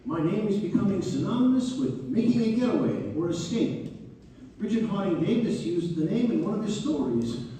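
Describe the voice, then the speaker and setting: A man's voice: sits high, animated, moderate pace, dark tone. A man playing Harry Houdini as a proud, fussy young escape artist composing a letter in a theatrical read-through. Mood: proud, cheerful. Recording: distant mic in echoing hall, faint noise.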